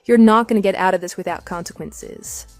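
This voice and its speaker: A woman's voice: mid-range voice, a little fast, a little mellow, faint music behind, close to an everyday voice. A woman playing a coolly sarcastic wronged wife in a radio drama, speaking in a dry, firm, matter-of-fact way. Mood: firm, warning. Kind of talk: scripted radio drama dialogue.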